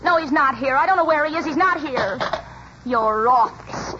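Casting: brassy, hot-tempered American showgirl, extremely high voice, slangy scold, noisy vintage radio thriller. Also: animated, normal speed. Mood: sullen, accusing. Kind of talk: 1940s American radio drama acting. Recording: dull, noisy old radio recording.

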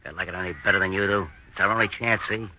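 An actor playing a tough, bullheaded criminal in a 1940s radio drama, slangy, bullying and cocksure. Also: male voice, low-pitched, fairly even intonation, racing, pushed and bright, heavily acted. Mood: angry, insistent. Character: tough, impatient, bullheaded male criminal butterfly hunter. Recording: dull, noisy old radio recording.

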